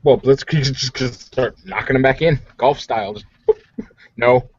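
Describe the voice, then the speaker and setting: A man's voice: medium pitch, normal speed, darkish tone, husky, no room sound. A man bantering casually and wryly as a player in a tabletop roleplaying game over online voice chat. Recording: dull, dry lo-fi voice chat.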